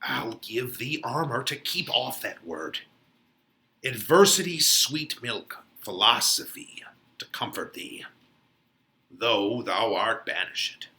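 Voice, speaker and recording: A man voices an older friar in a Shakespeare play, a patient counsellor who gets exasperated. He speaks slowly and solemnly, admonishing like a father. The tone is sharp and forceful. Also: mid-range voice, sing-song, voice pushed down, exaggerated voice, low-quality recording. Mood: consoling. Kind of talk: dramatic Shakespeare reading.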